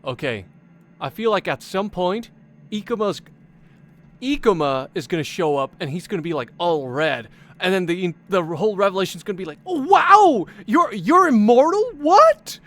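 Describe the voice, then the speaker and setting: A man streaming a game with casual, excitable commentary, often repeating himself. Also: high voice, normal speed. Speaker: gaming streamer.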